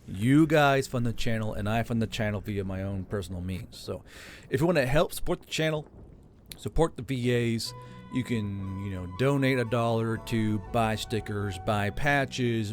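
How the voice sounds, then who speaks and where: A man who reads his own stories on his channel, then talks casually in a rambling, joking, sometimes profane way. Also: mid-range voice. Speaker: storyteller and channel host. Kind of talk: audiobook narration and casual outro.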